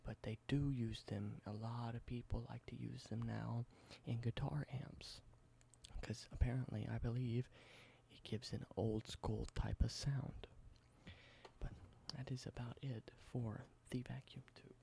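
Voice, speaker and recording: A man doing a whispered ASMR roleplay, explaining things slowly and correcting himself now and then. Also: mid-range voice, fairly even intonation, gravelly, breathy edge. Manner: soft whisper, slow explanations with small self-corrections. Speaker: ASMR creator.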